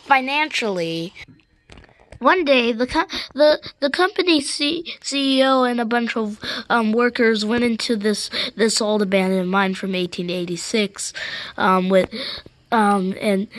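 High-pitched, stammering female storyteller. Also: fairly bright tone, dry recording. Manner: halting, stammering, repeats and restarts words. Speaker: storyteller and host of a hobby cartoon series. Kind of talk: improvised storytelling for a train cartoon.

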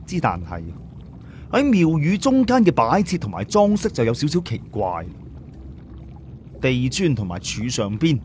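A man's voice: medium pitch, darkish tone, a little hiss or hum. A man with a Cantonese accent narrating an audiobook story in a dramatic, scripted storytelling style.

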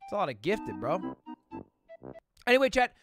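A man in his twenties chatting casually with viewers on a live stream, animated and swearing freely. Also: high voice, moderate pace, gravelly, soft background music. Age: 20s.

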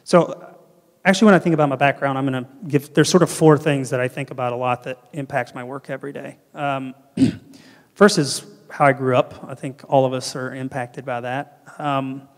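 A man's voice: mid-range voice, steady tone, moderate pace. A middle-aged man from North Carolina giving a conference keynote with a handheld mic, earnest and restarting often. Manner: earnest, gestures broadly, frequent restarts and ums. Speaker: keynote speaker and panel guest. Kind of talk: conference keynote and fireside chat. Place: large hall on stage. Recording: hall reverb.

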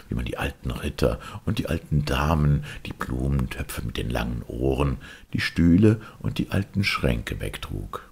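A man narrating a fairy tale expressively, with a gravelly, husky voice. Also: rumbling low. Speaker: audiobook narrator. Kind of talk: expressive scripted storytelling.

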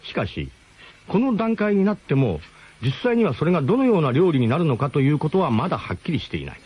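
A man's voice: medium pitch, a little mellow, husky. A man narrating a radio drama as a first-person comic story, in a mock-grand, theatrical way.

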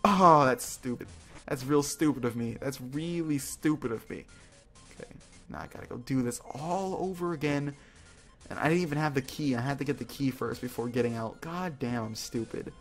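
Male gaming host, mid-pitched, casual and self-mocking with light swearing, game music underneath. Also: normal speed. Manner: jokey, self-deprecating, informal with mild swearing. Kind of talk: casual gameplay commentary. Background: video game music.

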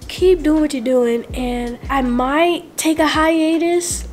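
Hoarse, chatty young woman vlogging. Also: high-pitched, a little mellow. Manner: chatty, drawn-out filler words. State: hoarse, losing her voice. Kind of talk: casual chat to camera.

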